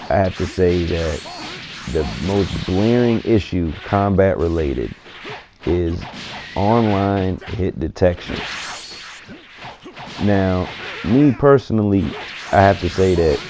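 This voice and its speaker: A man getting over a cold, his voice scratchy and gravelly, giving laid-back, opinionated commentary on a video game. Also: low voice. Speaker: gaming commentator. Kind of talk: casual gaming commentary.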